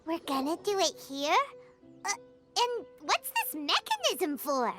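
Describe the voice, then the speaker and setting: A tiny childlike game companion with an extremely high, squeaky voice. The pitch swoops wildly as this naive, excitable little figure questions and exclaims. Music is clearly heard behind. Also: female voice, unhurried, heavily acted. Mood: curious. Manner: exclamatory, questioning, wide-eyed. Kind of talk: video game voice acting.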